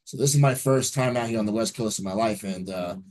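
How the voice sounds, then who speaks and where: Upbeat man in his forties, low voice. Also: fairly quick, a little mellow. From New Jersey. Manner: upbeat, fluent storyteller. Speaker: radio news anchor and reporter. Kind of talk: interview guest telling his story.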